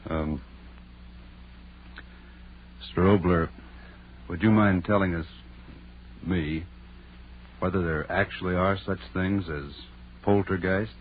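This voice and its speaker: A male announcer with a deep voice speaks slowly and formally in vintage radio style. The sound is a tinny old broadcast. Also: steady tone, a little hiss or hum. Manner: formal, deliberate. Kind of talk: 1930s-40s radio announcing.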